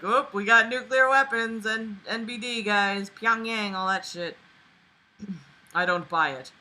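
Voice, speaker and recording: A young woman with a strikingly bright, sharp voice reads aloud in a casual way. She keeps interrupting the text with mocking commentary. Her manner is sarcastic, exasperated and freely sweary. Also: lowish voice, low-quality recording. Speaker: online book reader and commentator.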